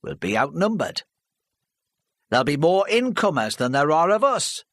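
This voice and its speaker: A man voicing a big, brash village mechanic who sneers and jeers loudly at a meeting. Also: fairly high pitch, big pitch swings, normal speed, no room sound, studio quality, pitched above the performer's own voice. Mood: sneering. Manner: loud, sneering, jeering.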